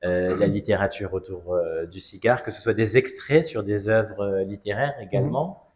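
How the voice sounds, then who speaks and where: Man, forties, from Strasbourg, lowish pitch, interview guest, measured and hesitant phrasing, frequent pauses. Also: a little mellow. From Strasbourg, France. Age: 40s. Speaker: interview guest, cigar brand ambassador. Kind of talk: conversational interview, personal story.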